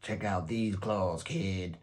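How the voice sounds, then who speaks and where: A cocky girl monster from a storybook played by a man, with a low raspy voice. She is curt and snappy, proud of being fearsome. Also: slow pace, a little mellow.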